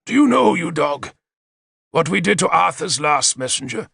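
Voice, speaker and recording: Man playing a forceful, high-pitched, imperious warlord king. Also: sing-song, normal speed, creaky, slightly rough, no room sound, clean studio sound, exaggerated voice. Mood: menacing. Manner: declamatory, menacing, commanding.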